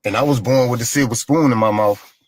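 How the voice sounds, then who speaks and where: A man's voice: medium pitch, brisk. A man in a played clip telling a plain, aggrieved personal story and repeating his points.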